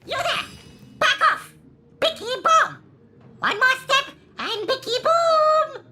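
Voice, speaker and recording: A tiny crazed gnome creature in a fantasy game, with a piercingly high, bright voice. It barks threats in broken phrases, swinging wildly in pitch. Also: animated, slow pace, faint music behind, heavily acted. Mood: threatening, agitated. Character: small crazed gnome-like monster guard threatening to explode. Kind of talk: video game character acting.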